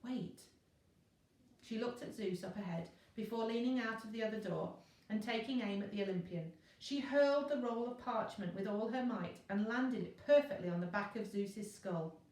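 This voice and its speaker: A woman's voice: mid-range voice, moderate pace, darkish tone. Woman reading a story, very echoey. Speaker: teacher reading a class novel. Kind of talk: expressive children's story narration. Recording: very echoey room, distant mic.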